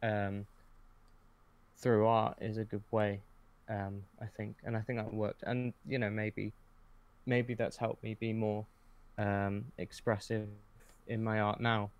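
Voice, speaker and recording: A man's voice: lowish voice, little pitch movement, slow pace, highs cut off. A man chatting casually as a podcast guest, hesitant and often correcting himself as he goes. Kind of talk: casual conversation.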